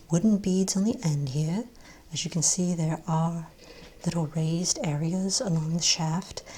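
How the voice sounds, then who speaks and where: A woman speaking softly and breathily, often nearly whispering, as a gentle, unhurried, reassuring shopkeeper in an ASMR role play. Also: deep voice, slightly rough. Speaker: ASMR role-play performer as wand shop owner. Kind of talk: soft-spoken ASMR role play, improvised sales patter.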